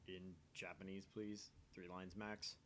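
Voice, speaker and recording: A man's voice: level tone, unhurried, fairly bright tone. A man voicing a teasing, nerdy young male otaku from a visual novel, droll and full of questions. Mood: teasing, curious. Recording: faint noise with low rumble.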